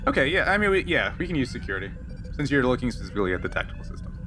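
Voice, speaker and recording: A man's voice: medium pitch, big pitch swings, very fast. Casual male game master, noisy background. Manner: hesitant, improvising, chuckling often. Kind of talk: casual tabletop game narration. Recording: clear background noise.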